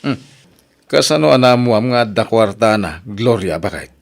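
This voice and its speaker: A man's voice: lowish voice, animated, moderate pace, creaky. A man with an Ilocano accent acting a grumbling husband in a comedy radio drama. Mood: surprised, grumbling. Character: grumbling husband reacting to his wife's complaints.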